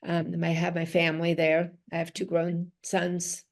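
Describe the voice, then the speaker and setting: A woman's voice: fairly low pitch, completely flat delivery, normal speed. A middle-aged woman from Switzerland, whose first language is Dutch, speaks as a physical therapist guest in a conversational interview. She talks earnestly, restarts her phrases and says "you know" often. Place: home, in Switzerland.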